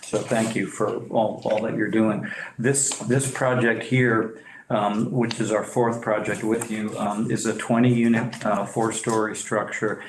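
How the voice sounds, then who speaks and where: A developer presenting to a public board meeting, halting with many fillers and restarts, clearing his throat as he loses his voice. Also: fairly low pitch, flat delivery. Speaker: property developer. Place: meeting room. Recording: echoey room, faint noise.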